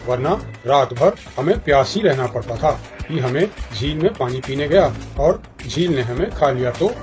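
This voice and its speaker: A man's voice: mid-range voice, a little mellow, close to an everyday voice. A man voicing a big, earnest jungle animal in a children's cartoon, in a dull recording with music. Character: big male jungle animal, earnest, doubtful but appreciative.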